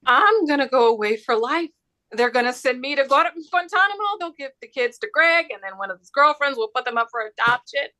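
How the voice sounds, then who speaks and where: Woman acting a panicky, high, sharp-voiced mother. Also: animated, fairly quick. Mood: panicked. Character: panicking mother fearing prison, rambling. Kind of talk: script table read.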